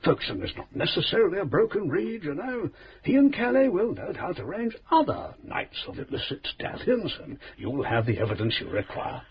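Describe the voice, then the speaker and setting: An actor playing a fussy, pompous private inquiry agent who talks in long-winded formal phrases, in a radio drama. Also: male voice, high-pitched, sing-song, a little fast, a little hiss or hum.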